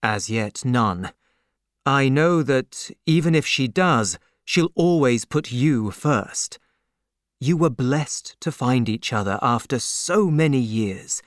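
A man voicing an earnest, humble young gentleman who speaks in a sincere, measured way. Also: mid-range voice, no echo, clean studio sound.

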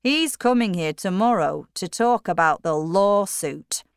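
Female reader voicing a headstrong storybook miller husband, insistent and slow. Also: medium pitch, animated, smooth, dry recording, studio quality. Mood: musing. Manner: forceful, emphatic, raised voice. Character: stern middle-aged country miller, stubborn and proud.

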